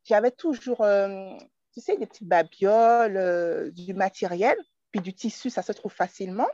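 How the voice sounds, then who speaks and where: A young woman from Martinique tells her story as a guest in a relaxed, chatty way. Her voice sounds dull and lo-fi. The recording is dry and close, with no room sound. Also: mid-range voice, moderate pace, dry recording. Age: 20s. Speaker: guest entrepreneur. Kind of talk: casual interview conversation.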